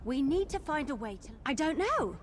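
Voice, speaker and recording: A woman's voice: sits high, very animated, normal speed, slight background noise. A woman voicing an eager, plucky young adventurer in a video game, chatty and wry, with exclamations. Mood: focused, puzzled. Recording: loud game ambience behind the voice. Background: loud game ambience.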